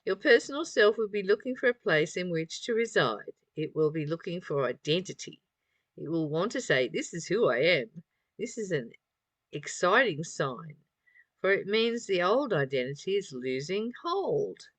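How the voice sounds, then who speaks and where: A woman reading a spiritual study text aloud and commenting on it informally, in measured phrases with pauses. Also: on the low side, very animated, no room sound.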